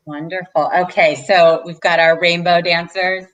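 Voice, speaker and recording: A woman's voice: on the low side, flat delivery, moderate pace, a bit bright, lo-fi sound. A woman co-hosting children's story time, leading a movement rhyme in a playful, sing-song way.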